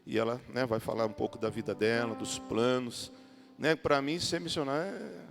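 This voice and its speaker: A man's voice: mid-range voice, normal speed, vocal fry. A man with a Brazilian Portuguese accent, speaking as a pastor in a colloquial, joking way as he introduces a guest to a church. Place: church hall. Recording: reverberant hall with music.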